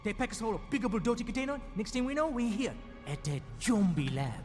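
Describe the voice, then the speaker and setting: A man's voice: big pitch swings, fast talker. An actor playing a plucky streetwise boy with a thick Guyanese Creole accent and a brash, boastful manner in a video game. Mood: cocky, aggrieved. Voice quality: youthful high voice. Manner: thick creole patois, cocky. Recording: long reverb under music.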